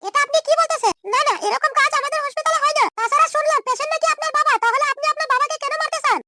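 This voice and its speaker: A man voicing a brisk, insistent female hospital nurse in an amateur comedy cartoon, explaining firmly through a chipmunk-like pitch effect. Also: bright, forceful tone, dry recording, studio quality, full character voice. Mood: shocked, firm.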